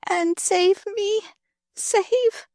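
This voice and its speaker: A gasping dying girl, high and faint. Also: takes it slowly, dry recording, studio quality. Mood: faint pleading. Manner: gasping, breathy, faint, rustic slang. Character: dying young girl, weak, frightened, defiant.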